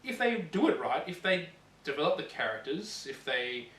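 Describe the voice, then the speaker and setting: Casual gaming co-host: male, bright tone, rambling and halting, recorded in a roomy space. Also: on the high side, sing-song. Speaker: video show co-host. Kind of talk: casual chat about games and films. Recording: roomy, distant mic, some ambient noise.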